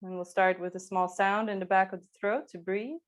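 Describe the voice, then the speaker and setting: A woman teaching yoga, giving calm, unhurried and gently encouraging guidance through movement and breathing. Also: medium pitch. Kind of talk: guided slow movement and breathing instruction.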